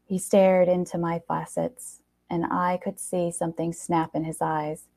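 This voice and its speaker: A woman tells a first-person story in a low, mellow voice with plenty of fry. Her reading is expressive and wry, and she leans on pauses for drama. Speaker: reader of a short story.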